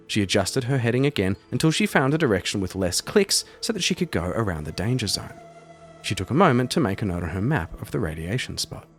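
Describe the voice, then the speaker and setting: A man hosting a solo role-playing audio show, moving between storytelling and casual thinking aloud about the game. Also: fairly low pitch. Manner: thinks aloud while playing, self-deprecating asides. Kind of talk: narrated story mixed with casual game commentary.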